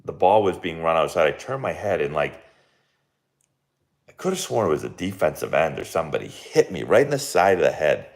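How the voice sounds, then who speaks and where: Deep, gravelly guy with a fading hoarse voice, casually rambling through live game commentary. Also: very animated, a little fast. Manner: rambling, filler-heavy with many likes and restarts. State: hoarse, losing his voice. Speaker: baseball coach streaming live.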